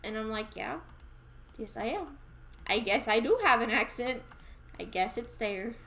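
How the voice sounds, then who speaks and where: A woman with a Southern US accent talks her way through a game stream in a relaxed, rambling way. Her voice sits a little high and swings freely up and down. She is easily amused and takes her time. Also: measured pace, gravelly, slight background noise. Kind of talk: casual gameplay chatter.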